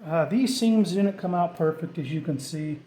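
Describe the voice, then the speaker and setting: Male DIY vlogger, middle-aged, slightly high pitch, very mellow tone, casual and self-deprecating tutorial talk. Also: normal speed. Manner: informal, self-deprecating, thinking aloud. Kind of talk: casual hands-on tutorial narration.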